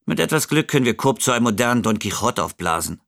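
Resigned, mid-voiced sound engineer. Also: male voice, medium pitch, big pitch swings, fast talker, gravelly, fairly bright tone. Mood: resigned, musing. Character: disillusioned, observant male sound engineer. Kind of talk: narrated monologue in a German audio play.

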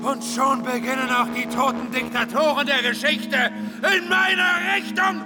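An actor playing a manic, grandiose man in psychosis who believes himself the messiah, shouting ecstatically in a radio play. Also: extremely high pitch, steady tone, normal speed. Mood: raving. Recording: loud music under the voice.

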